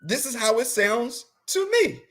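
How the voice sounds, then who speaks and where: High-voiced man riffing with slang. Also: big pitch swings, a little slow. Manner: slangy, rambling and laughing. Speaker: host. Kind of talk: comedic casual chat.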